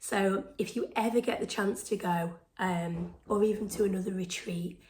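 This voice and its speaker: A hoarse woman chats her way through a show-and-tell vlog, her voice mid-pitched and worn thin. She talks excitedly with plenty of ums, and some phrases fade into a breathy whisper. A faint hiss sits under the recording. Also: moderate pace, poor audio. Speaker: stitching vlog host. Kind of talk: casual show-and-tell chat to camera.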